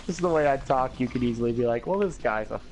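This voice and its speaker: A man's voice: quick pace, slight background noise. Rambling teen guy with a slight lisp. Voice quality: higher-pitched voice with an occasional lisp. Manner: talkative, rambling with many "like"s, swearing, animated laughs. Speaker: livestream host. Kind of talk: casual chat on a game livestream.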